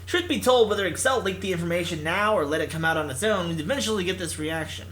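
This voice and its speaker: Bright, higher-pitched man reading aloud. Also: quick pace. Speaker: let's play host reading a visual novel aloud. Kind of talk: expressive read-aloud narration with casual asides.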